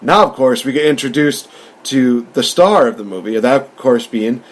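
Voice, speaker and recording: A man's voice: mid-range voice, normal speed. A man hosting a casual movie review, rambling through it with enthusiasm. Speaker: podcast host and film reviewer.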